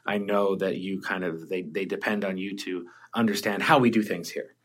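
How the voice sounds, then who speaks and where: Male podcast host, lowish voice, rambling questions with lots of restarts. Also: steady tone, moderate pace. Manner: rambling, restarts sentences often. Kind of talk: casual workplace podcast conversation.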